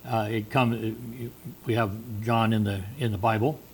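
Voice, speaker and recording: A man's voice: lowish voice, fairly even intonation, measured pace, vocal fry, a little hiss or hum, rough recording. Man teaching a Bible study. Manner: unscripted, many fillers and restarts, reads verses aloud.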